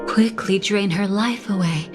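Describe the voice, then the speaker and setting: A woman playing a calm, enigmatic confidante in a video game, composed and probing in her delivery. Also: medium pitch, unhurried, music in the background, lightly acted. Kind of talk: video game story acting.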